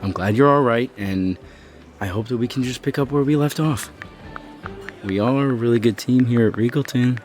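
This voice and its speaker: A man's voice: medium pitch, normal speed, darkish tone, lightly acted. An actor playing a beleaguered, apologetic male chief executive in an audio soap opera, earnest and uneasy as he pleads. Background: music under the dialogue.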